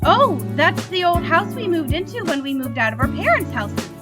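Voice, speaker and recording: A woman's voice: very high-pitched, very animated, normal speed, loud music behind the voice, voice pushed up. A woman voicing an affectionate, cheerful angel mother in a storybook reading. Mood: reminiscing. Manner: affectionate, chatty. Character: warm, cheerful angel mother of grown daughters.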